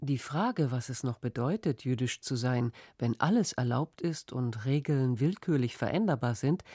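A narrator reading a documentary script in an even, measured way. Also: mid-range voice, breathy edge, no echo, studio quality.

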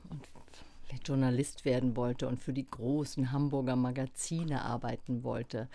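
Very low, gravelly-voiced woman journalist. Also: steady tone, moderate pace, mellow. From Germany. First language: German. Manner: reflective, thoughtful, with restarts. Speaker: journalist guest. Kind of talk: radio interview.

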